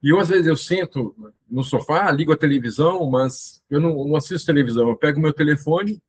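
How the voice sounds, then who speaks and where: A man's voice: fairly high pitch, a little fast, slight background noise. A man in his fifties from the interior of São Paulo, hosting his channel and reminiscing in a rambling, casual monologue full of restarts. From Brazil, interior of São Paulo state. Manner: rambling, with many fillers and restarts. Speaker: channel host.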